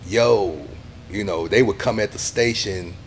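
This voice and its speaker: A middle-aged man, a radio DJ talking casually in an interview, animated and acting out what other people say. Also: lowish voice, measured pace, a little hiss or hum. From Texas, USA. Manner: animated, acts out quoted people, says "you know what I'm saying" a lot.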